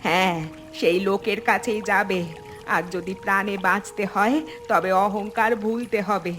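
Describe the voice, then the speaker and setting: A woman's voice: mid-range voice, steady tone, measured pace, fairly bright tone, faint music behind, lightly acted. A woman playing a practical, determined village mother in a cartoon, speaking firmly and urgently. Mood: firm, urging. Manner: firm, urging. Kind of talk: cartoon dialogue.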